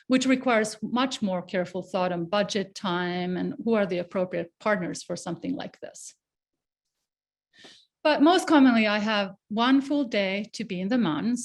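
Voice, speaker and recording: A middle-aged woman from Finland with a Finnish accent, giving an online presentation in a casual, conversational way with fillers, over a computer mic. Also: mid-range voice. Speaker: guest speaker, avalanche researcher.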